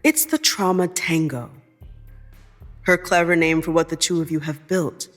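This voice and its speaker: Woman narrating fiction over music, measured. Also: low-pitched, steady tone. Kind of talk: scripted second-person fiction narration.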